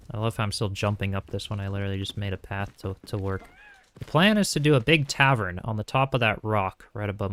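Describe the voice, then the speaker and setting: A young man streaming a building game, talking calmly and softly while reading chat aloud, with frequent small chuckles. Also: lowish voice. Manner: soft-spoken, calm, chuckles often, reads chat aloud. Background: faint game music.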